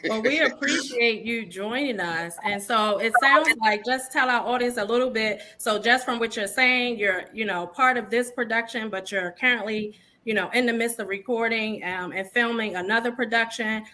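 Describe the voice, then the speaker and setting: A woman hosting a live online interview show, lively and upbeat, talking straight to her viewers. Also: a little high, normal speed. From Baltimore, USA. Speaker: show host.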